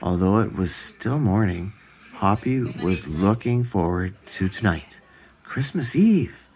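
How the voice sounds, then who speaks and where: A man reading a children's story aloud in a deliberate, expressive way. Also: lowish voice. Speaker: storybook reader.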